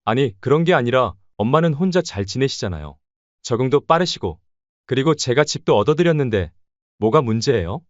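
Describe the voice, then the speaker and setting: An evasive grown son voiced by a man, defensive and placating, with lively, varied intonation. It is audio drama dialogue, recorded dry and slightly muffled. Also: mid-range voice, fairly quick, no room sound, lightly acted. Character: evasive adult son excusing himself to his mother.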